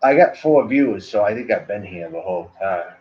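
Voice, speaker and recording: A man's voice: lowish voice, animated, brisk. A man from Maine with a Maine accent, chatting loosely to livestream viewers as a cigar reviewer, meandering and full of "like" and "you know.". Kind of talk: rambling casual livestream chat. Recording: dull lo-fi livestream audio.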